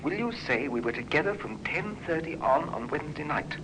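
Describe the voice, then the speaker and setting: A young artist under suspicion of murder, pleading his innocence in a hesitant, halting way. The voice sits fairly high for a man, on a faintly noisy vintage radio drama recording. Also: lively intonation. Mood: anxious pleading. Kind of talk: old-time radio drama acting. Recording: noisy old radio broadcast.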